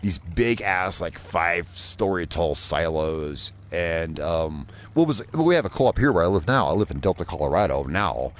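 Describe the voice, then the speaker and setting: Casting note: rambling male game streamer from Indiana, lower voice, loose and profane. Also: lively intonation, moderate pace, hardly any pauses. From Indiana, USA. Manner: rambling, chatty, swears freely. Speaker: gaming streamer. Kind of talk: casual live gameplay commentary.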